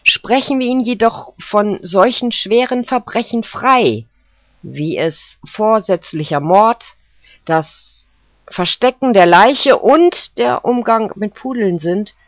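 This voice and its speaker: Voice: medium pitch, expressive, no room sound, voice pushed up. A narrator doing a solemn fox jury foreman. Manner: formal, deliberate verdict. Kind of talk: storybook character voice. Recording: dull, dry recording.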